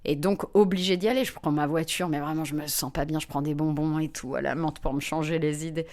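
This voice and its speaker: A woman comedian, a guest in an interview, telling lively personal anecdotes with frequent fillers. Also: low-pitched, brisk. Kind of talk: anecdotal storytelling in conversation.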